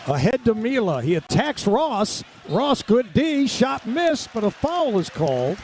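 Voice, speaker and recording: A man's voice: very high voice, sing-song, measured pace. An American man calling live radio basketball play-by-play in clipped, present-tense phrases. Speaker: play-by-play announcer. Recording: clear crowd and arena background noise.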